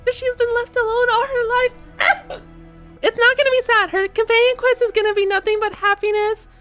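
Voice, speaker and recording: A woman giving casual, playful live reactions to a game story, expressive and quick to exclaim. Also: very high voice, soft background music.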